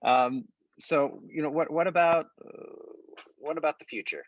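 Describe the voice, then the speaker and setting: A man's voice: on the high side, moderate pace, no room sound, muffled recording. A man from the United States, a creative director, talking earnestly in a casual panel conversation and searching for his words.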